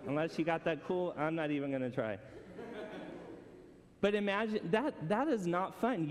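A man's voice: a little high, darkish tone, big-room sound. A man preaching a conversational sermon to a congregation, asking them questions. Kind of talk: casual sermon.

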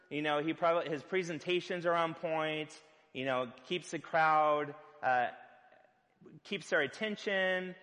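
A man preaching a sermon as pastor, loud-voiced and conversational, with filler words and playful asides. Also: fairly high pitch, in a large hall.

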